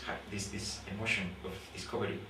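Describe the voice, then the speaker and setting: A young man from Guatemala talks through his astronomy research in halting second-language English, full of pauses and restarts. His voice sits on the low side. He is recorded from a distance in a roomy space, with faint noise behind him. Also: fairly even intonation. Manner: halting, many fillers and restarts, thoughtful. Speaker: PhD student in astronomy. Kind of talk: interview, explaining his research.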